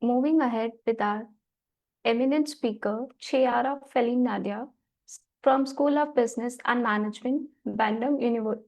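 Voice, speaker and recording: A woman's voice: a little high, a little mellow, rough recording. A woman moderator introducing conference speakers in a formal announcing manner.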